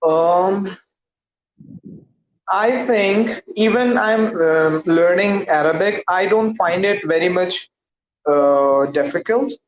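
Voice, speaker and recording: A man whose first language is Urdu, an English learner speaking carefully and hesitantly in a casual practice conversation over a video call. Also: high voice. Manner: careful, hesitant, many pauses and affirmations. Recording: roomy video call audio.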